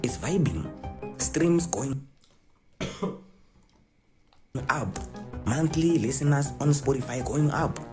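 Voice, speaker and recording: A woman's voice: extremely low pitch, animated, slow pace, long pauses, over music. A woman narrating a video script in a punchy, slangy storytelling way.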